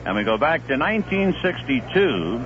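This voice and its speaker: A man calling a baseball game on the radio, chatting between pitches and reeling off statistics. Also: moderate pace. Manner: lively, conversational, reeling off statistics. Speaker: play-by-play announcer. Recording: muffled 1970s radio broadcast with hiss.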